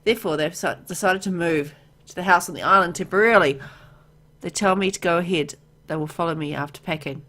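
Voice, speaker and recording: A woman's voice: on the low side, fairly quick, a bit bright. A woman reading a visual novel aloud as she plays, mixing narration with casual asides.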